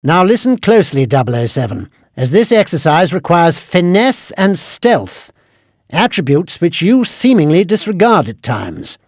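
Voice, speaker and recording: A fussy older British quartermaster briefing an agent in a video game. His high voice swoops with exasperation, every instruction formal and precise. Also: very animated, a little slow, no echo, studio quality, full character voice. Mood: officious briefing.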